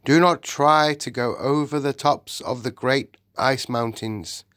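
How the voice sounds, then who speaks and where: A majestic, solemn lion king, as a male storyteller puts him on, speaking in slow, grand, commanding phrases. The voice sits low and unhurried, with a dry, close sound. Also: fairly bright tone, dry recording.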